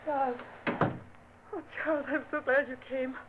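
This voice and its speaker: A woman's voice: high voice, steady tone, normal speed, natural voice. An actress playing a frail, feverish young noblewoman in dread, in vintage radio drama style. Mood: pleading. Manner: breathy, pleading, intense. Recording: tinny, hissy old radio recording.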